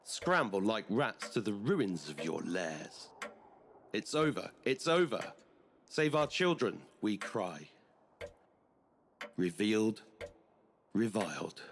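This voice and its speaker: A man recites a poem in a very slow, ominous, rhythmic delivery. His voice is very low, with faint clock-like ticking behind it. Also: sing-song, slight background noise. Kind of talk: dramatic spoken-word poem recital.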